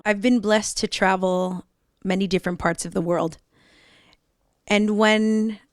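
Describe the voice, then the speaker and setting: A woman's voice: mid-range voice, dry recording, studio quality. A woman from the Philippines speaking earnestly about her identity in an interview. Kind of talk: personal interview.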